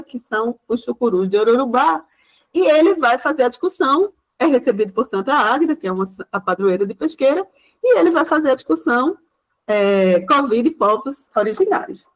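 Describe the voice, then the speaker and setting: Female lecturer, slightly high voice, informal and explanatory, checking in with frequent tag questions. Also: lively intonation, brisk. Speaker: university lecturer. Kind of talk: informal lecture presenting a project.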